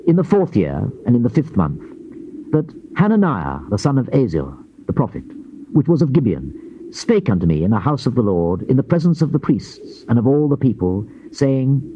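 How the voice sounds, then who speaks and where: A man narrates scripture as a solemn dramatic reading. His voice is mid-pitched and dark, with measured, oratorical emphasis. The recording sounds like a dull old cassette. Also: slight background noise.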